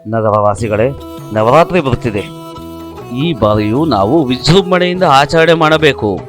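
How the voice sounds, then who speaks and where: A man's voice: medium pitch, animated, unhurried, faint music behind, close to an everyday voice. A man voicing an earnest village character in a moral story, speaking in a declamatory way. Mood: solemn, rousing. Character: earnest village men: leader and alarmed old visionary.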